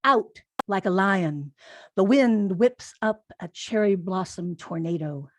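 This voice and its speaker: Slow-paced woman reading poetry, dry sound. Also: mid-range voice, expressive, no echo. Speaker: haiku poet.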